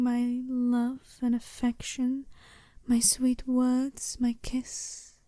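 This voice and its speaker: A very mellow, dark-toned werewolf bride acted by a woman, seductive and sure of herself. She speaks slowly and intimately in a soft half-whisper, teasing and deliberate, as in a close, dry ASMR roleplay. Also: high voice, dry recording. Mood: earnest, seductive.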